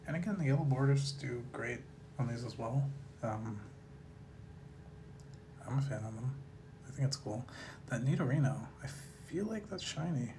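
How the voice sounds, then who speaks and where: A man with a slightly raspy voice chats casually to viewers as a host, musing in a laid-back way and trailing off mid-thought. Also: medium pitch, background noise. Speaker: host of a card collecting video series. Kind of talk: casual rambling commentary to viewers.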